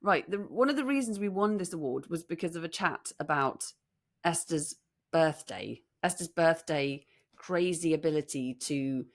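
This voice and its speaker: A British woman co-hosting a podcast in casual chat, telling stories in an animated, teasing way. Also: sits low, moderate pace. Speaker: podcast co-host. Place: room in central London.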